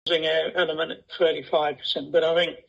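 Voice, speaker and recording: A man's voice: a little high, level tone, fairly quick, creaky. A man making considered, polite remarks at a meeting. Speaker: meeting speaker, cycling forum chair. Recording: dull lo-fi meeting recording.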